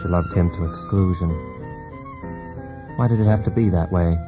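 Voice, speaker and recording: An actor playing a wounded, brooding husband in a poetic radio drama, speaking in a deliberate, intense, theatrical way. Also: male voice, deep voice, natural voice. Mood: wistful, hurt. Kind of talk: poetic monologue in a radio play. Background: music.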